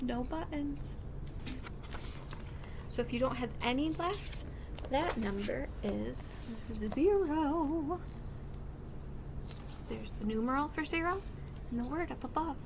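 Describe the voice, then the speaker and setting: A woman reading a picture book aloud to young children as a teacher, expressive and sing-song, pausing to explain in simple words. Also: high voice, vocal fry, background noise. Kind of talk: animated children's read-aloud with singing and counting.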